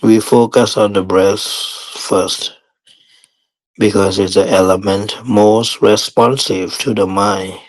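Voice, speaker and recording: Slow, deep-voiced male meditation teacher. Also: fairly even intonation, rough recording. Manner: measured, with long pauses between short phrases. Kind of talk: guided meditation instruction.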